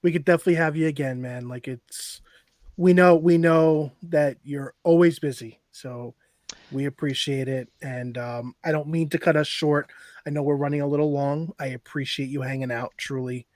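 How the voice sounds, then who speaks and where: A man's voice: a little high, steady tone, normal speed, a little mellow, dry recording. A man hosting a casual interview podcast, easygoing and appreciative as he talks with his guest. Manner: easygoing, appreciative wrap-up talk. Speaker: podcast host.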